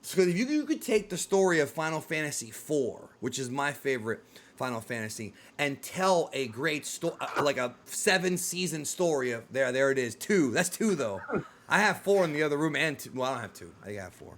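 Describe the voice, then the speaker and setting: A man's voice: fairly high pitch, big pitch swings, moderate pace, fairly bright tone, a little hiss or hum. A man hosting a casual, opinionated discussion, speaking emphatically and repeating himself to drive points home. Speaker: host of a video game and film talk show.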